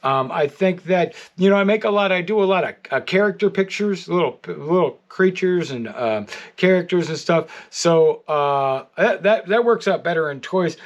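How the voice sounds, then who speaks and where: A middle-aged American man giving a casual, rambling video update, halting often and repeating his words. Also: high-pitched, animated, muffled recording. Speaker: artist and toy maker. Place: art studio, indoors.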